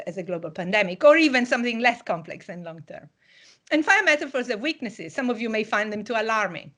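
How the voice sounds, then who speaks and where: A woman whose mother tongue is Italian delivers a university public lecture online. Her voice sits in an ordinary middle pitch, and she explains things in a measured way, pausing now and then on fillers. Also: fairly bright tone.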